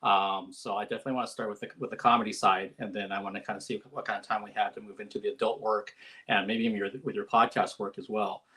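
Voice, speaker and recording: A man's voice: lowish voice, level tone, fast talker, bright, forceful tone, rough recording. A man hosting a live-streamed interview over a video call, friendly and chatty, with many fillers and restarts. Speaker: interviewer, host.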